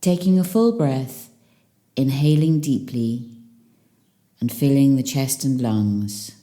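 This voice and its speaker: Very low, slow woman guiding meditation. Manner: calm, soothing, with long pauses. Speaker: meditation teacher. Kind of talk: guided meditation.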